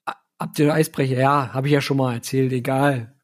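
A man's voice: mid-range voice, little pitch movement, fairly quick. A man chatting casually about music as a podcast co-host, blunt, slangy and rambling.

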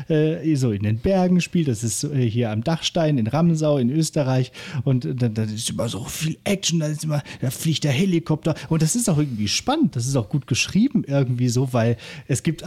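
Rambling man, mellow voice. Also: fairly high pitch. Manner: rambling, many fillers and restarts. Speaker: podcast co-host. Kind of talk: casual chat. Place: holiday flat.

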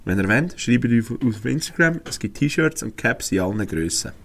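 A man co-hosting a casual podcast in Swiss German, chatty and animated. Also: medium pitch, normal speed, creaky, noisy background. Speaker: podcast co-host. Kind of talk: casual chat.

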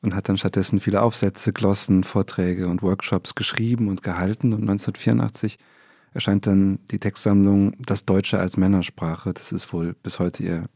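A man co-hosting, calmly summarizing the guest's views. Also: low voice, moderate pace, dry recording, clean studio sound. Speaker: podcast co-host, reporter. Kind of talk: summarizing narration.

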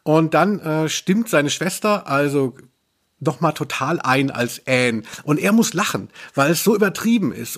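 A man hosting a podcast in casual discussion, talking in a halting way as he searches for his words. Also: fairly high pitch, husky. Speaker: podcast host, culture journalist.

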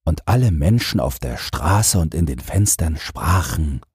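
A man narrates a scripted bedtime fairy tale in a deep voice. He reads very slowly with a strongly husky tone, recorded close and dry. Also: animated, darkish tone, no echo. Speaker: audiobook narrator.

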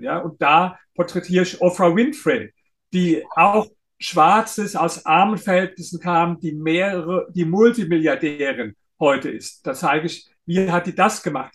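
A man, a guest author in an interview, explaining his points and often pausing on uh fillers. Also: fairly high pitch, a bit bright. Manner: explanatory, hesitant with frequent uh fillers. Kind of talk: interview conversation.

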